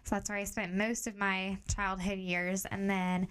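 A young adult woman from Tanzania, a guest on a podcast, calmly explaining ideas step by step with examples. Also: mid-range voice, normal speed, background noise. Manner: calm, steady explaining with clear examples. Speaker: guest, director of training.

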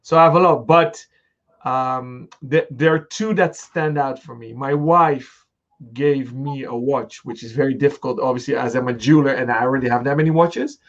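Relaxed man hosting an interview. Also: mid-range voice, moderate pace, highs cut off. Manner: relaxed, rambling with restarts and fillers. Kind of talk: casual interview conversation.

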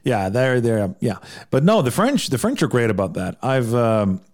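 A middle-aged man from Iceland, a songwriter talking in a relaxed, thoughtful interview with frequent restarts. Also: mid-range voice, normal speed. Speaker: songwriter guest. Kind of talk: casual interview chat.

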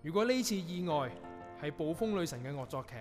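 A man's voice: a little high, lively intonation, fairly quick, music in the background, natural voice. A man acting in a Cantonese radio drama as a young, reflective composer, with a Hong Kong accent and an earnest, literary delivery. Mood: rousing, determined. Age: young adult. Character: young male avant-garde composer, reflective and restlessly inspired.

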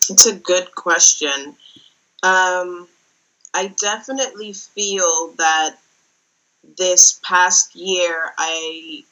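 A young woman from the Netherlands speaking thoughtfully as an interview guest, with frequent pauses and restarts. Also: fairly low pitch, bright, forceful tone, rough recording. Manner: thoughtful, many fillers and restarts. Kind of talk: casual interview talk.

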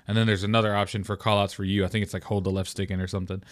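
A young man hosting his own podcast alone, with a deep morning voice, talking in a rambling, relaxed way with lots of fillers and restarts. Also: very fast, dry recording, clean studio sound. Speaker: podcast host. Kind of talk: casual solo podcast talk.

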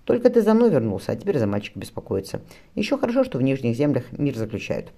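A fretful, determined mother played by a male reader from a historical novel. She speaks briskly and affectionately, with quick pace and pitch that moves up and down. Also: wildly swooping pitch, gravelly, noisy background. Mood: brisk, concerned.

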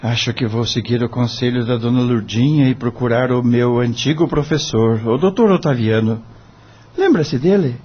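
A man's voice: medium pitch, normal speed, slightly rough, lightly acted. An actor playing an earnest, polite young male lawyer in a radio drama. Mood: thoughtful, earnest. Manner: polite, deferential. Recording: dull, lo-fi radio recording.

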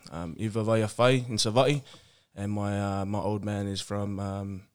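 A man's voice: on the low side, fairly even intonation, moderate pace, clean tone. A man from Melbourne with an Australian accent, chatting casually as an interview guest in a laid-back, hesitant, colloquial way. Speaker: podcast guest, rugby player.

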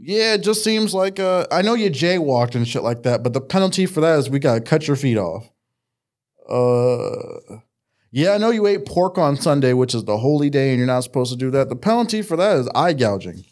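A man's voice: medium pitch, fairly quick. A man trading crude, joking banter as a podcast co-host, from the United States. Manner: animated, joking, jumps into mock voices.

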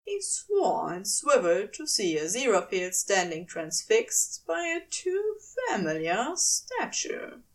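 A woman's voice: mid-range voice, huge pitch swings, unhurried, hardly any pauses, distant mic. A woman reading fiction aloud as dramatic scripted narration. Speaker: fiction reader.

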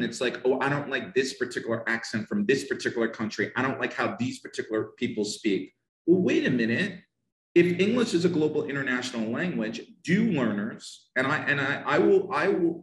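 An American man with a Northern New Jersey accent talks about teaching English pronunciation, passionate and emphatic, often repeating phrases for stress. His voice sits at an ordinary pitch for a man. It carries a roomy echo around it. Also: fairly bright tone. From United States. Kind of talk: passionate podcast conversation.

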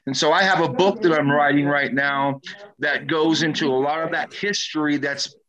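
Bright-voiced man, rambling with restarts. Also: on the high side, steady tone, normal speed, poor audio. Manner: rambling, repeats and restarts phrases. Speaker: guest historian and curator. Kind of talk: rambling online talk-show interview.

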